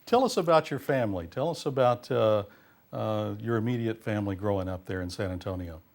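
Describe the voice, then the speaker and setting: A man's voice: lowish voice, fairly quick, creaky, a little mellow. Courteous male interviewer asking questions.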